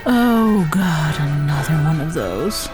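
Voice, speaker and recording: Superheroine played by a woman, grandiose, scornful, exasperated, lively pitch swings, faint music behind, audio drama. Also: slow. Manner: grandiose heroic speech slipping into annoyance.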